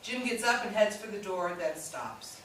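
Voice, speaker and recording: A woman's voice: mid-range voice, normal speed, fairly bright tone. A woman reading stage directions as scripted narration at a staged play reading. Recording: very echoey room, distant mic, faint hiss.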